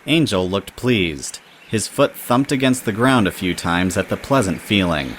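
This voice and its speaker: A man's voice: lowish voice, animated, measured pace, slight background noise. A man reading scripted storybook narration as the narrator of an audio tale.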